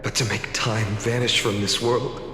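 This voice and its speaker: Guy voicing a stoic game warrior, lowish voice, grave n slow, lots of reverb, music behind. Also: level tone, background noise, close to an everyday voice. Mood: grave, resolved. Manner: grave, measured, earnest. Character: solemn, self-sacrificing young male warrior of stoic resolve. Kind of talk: dramatic video game voice acting.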